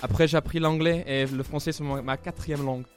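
A man's voice: fairly high pitch, steady tone, brisk, soft background music. A young man from Belgium with a Flemish accent, a native Dutch speaker, answering interview questions in French with many hesitations. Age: young adult. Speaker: student radio guest.

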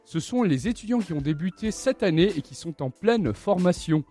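A man's voice: high voice, lively intonation, normal speed, dark tone, soft background music. A young man hosting a live student radio show from a studio in a chatty, joking way.

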